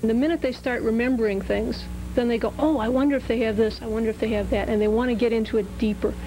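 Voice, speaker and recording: A woman's voice: a little high, fairly even intonation, quick pace, mellow, a little hiss or hum, low-quality recording. A woman, a shop worker talking in an interview. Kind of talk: interview talk.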